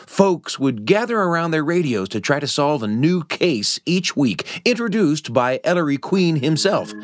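A man's voice: on the high side, very animated, normal speed, a little hiss or hum. A man hosting a radio show, narrating like a storyteller with dramatic, emphatic phrasing.

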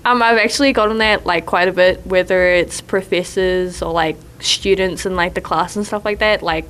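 A young woman from New Zealand with a New Zealand accent, a college basketball player answering interview questions in a relaxed way, laughing often and using lots of fillers. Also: lowish voice, moderate pace. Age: young adult.

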